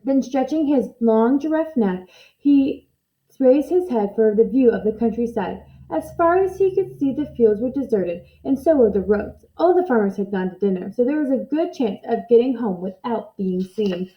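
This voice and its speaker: A woman reading a children's story aloud in an expressive narrating style. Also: fairly high pitch, normal speed, lo-fi sound. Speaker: storybook reader.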